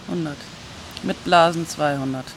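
An actress playing a brazen, flirty street prostitute in a radio drama, casual and forward with a customer. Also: female voice, low-pitched, unhurried. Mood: flirty, teasing. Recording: noisy street ambience.

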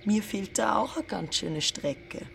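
An actress playing a wary young woman in a naturalistic German radio drama, with a creaky voice. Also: mid-range voice, expressive, a little slow, slight background noise. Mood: uneasy, probing. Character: Carina, wary young woman questioning her lover.

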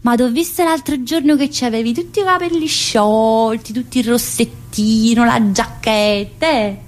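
A woman's voice: a little high, moderate pace, natural voice. An actress playing a brash, restless middle-aged female prison inmate in a theatrical monologue, with a southern Italian accent and a chatty, confrontational manner. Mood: teasing, nosy. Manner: chatty, confrontational, with frequent interjections and questions. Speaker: stage actress performing a monologue.

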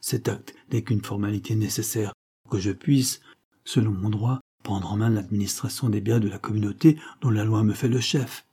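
A man's voice: fairly low pitch, fairly even intonation, normal speed, dark tone, slightly rough. A man voicing a proud, indebted nobleman who defends himself against accusations. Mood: defensive.

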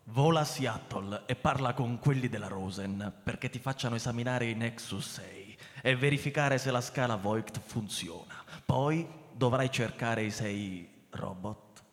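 An actor playing a businesslike police inspector in a radio drama, giving orders in a measured, matter-of-fact way. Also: male voice, medium pitch, lightly acted. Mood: grave, commanding. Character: businesslike male police inspector briefing his subordinate. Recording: reverberant hall sound.

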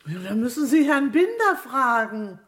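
An actress playing an evasive, nervous housekeeper in a radio crime drama, with a hesitant, flustered manner. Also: female voice, a little high, big pitch swings, normal speed, fairly bright tone. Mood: guarded, evasive.

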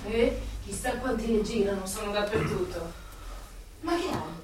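A woman's voice: medium pitch, expressive, normal speed, close to an everyday voice. An actress playing a bold, defiant young woman in a radio play, with a direct and firm way of speaking. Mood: soothing, urgent. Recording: very echoey room, distant mic, faint noise.

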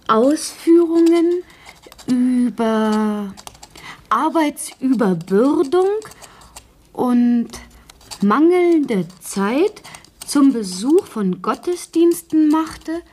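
An earnest female servant in a radio drama, drafting aloud. Her high voice swings in pitch, yet she advances extremely slowly and deliberately. There is faint noise behind her. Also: mellow, breathy edge, exaggerated voice. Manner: halting, deliberate, word by word. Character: earnest housemaid slowly composing a written meeting report. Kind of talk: radio play dialogue.

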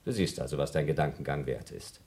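A man's voice: extremely low pitch, lively intonation, fast talker, creaky, darkish tone, full character voice. An actor playing a younger astronaut in a German radio drama, arguing with cold, pedantic logic and accusing his rival. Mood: cold, reasoning. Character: younger male astronaut, cunning, argumentative, coldly accusing rival.

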